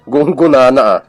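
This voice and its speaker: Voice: mid-range voice, heavily acted. A performer with an Ilocano accent playing a jovial, teasing village busybody who chuckles while talking in a radio comedy drama. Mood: amused. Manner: chuckles through the talk.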